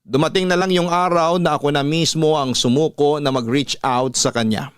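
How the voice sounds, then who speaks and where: A man with a Filipino accent reading a personal story as a radio host, in measured, sentimental dramatic narration. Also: a little high, fairly even intonation, smooth, no room sound, clean studio sound. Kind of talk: dramatic scripted narration of a letter.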